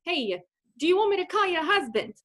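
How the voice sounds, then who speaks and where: A woman's voice: very high voice, big pitch swings, brisk, a bit bright, voice pushed up, full character voice. A woman voicing a brash, nosy young male cab driver in a comedic radio play. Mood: brash, prying. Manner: blunt, pushy.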